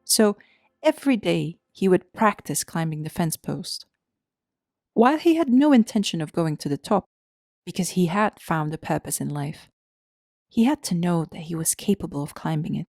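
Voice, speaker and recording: A woman's voice: lowish voice, animated, normal speed, darkish tone, no echo, studio quality. A woman narrating a scripted story in a storybook style.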